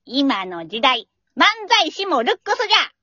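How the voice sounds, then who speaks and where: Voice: high voice, big pitch swings, moderate pace, pushed and bright, dry recording, lo-fi sound, heavily acted. An amateur radio-drama performer playing an old male vice principal, pompous and then whiny and childishly stubborn, with old-man speech. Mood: insistent.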